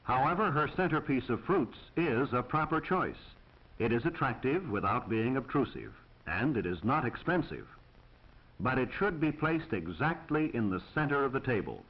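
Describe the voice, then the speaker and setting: A man's voice: mid-range voice, sing-song, normal speed, lo-fi sound. An American man reading scripted 1940s instructional film narration in a formal, precise, instructive manner on an old film soundtrack.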